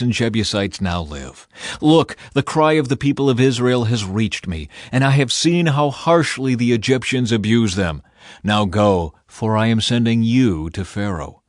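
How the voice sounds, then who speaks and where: A man voicing a solemn, commanding God in an authoritative, measured scripture reading. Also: medium pitch, no room sound, studio quality, close to an everyday voice. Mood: resolute, compassionate. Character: God, solemn commanding male deity speaking from fire.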